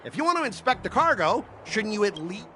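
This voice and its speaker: A man's voice: high-pitched, very animated, fairly quick, sharp tone, a little hiss or hum, heavily acted. A man playing an irritable, blustering middle-aged corporate cargo trader in a video game, exasperated and sarcastic in a theatrical way. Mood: indignant, defensive.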